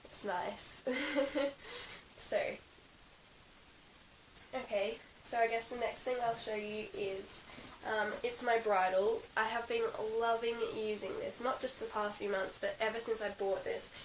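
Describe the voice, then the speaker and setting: Croaky giggly Australian young woman. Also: a little high, steady tone, measured pace. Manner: chatty, giggly, lots of ums and likes. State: croaky from being sick. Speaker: equestrian vlogger. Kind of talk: casual show-and-tell vlog. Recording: roomy, slightly distant with hiss.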